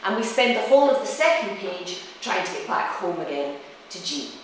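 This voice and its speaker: A woman presents a music lecture in a reflective, storytelling way, explaining as she goes. She is recorded at some distance from the microphone in a highly reverberant room. A faint layer of noise sits under her medium-pitched voice. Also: sing-song, fairly quick. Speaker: presenter, cellist and music teacher. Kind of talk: spoken lecture-demonstration on music.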